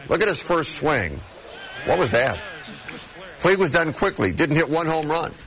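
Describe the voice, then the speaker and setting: A man's voice: fairly high pitch, very animated, a little slow, fairly bright tone. Wry male TV sports reporter. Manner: wry, mocking delivery.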